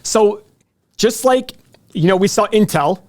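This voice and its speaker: Guy with a pretty high voice doing live market talk, explains stuff right to viewers, chatty. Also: expressive, unhurried, darkish tone. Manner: conversational, explains by addressing viewers directly. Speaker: finance show host. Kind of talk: live stock earnings commentary.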